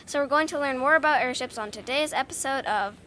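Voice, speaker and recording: Bright-voiced woman podcast host. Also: high-pitched, quick pace, a little hiss or hum. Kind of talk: scripted kids' podcast hosting.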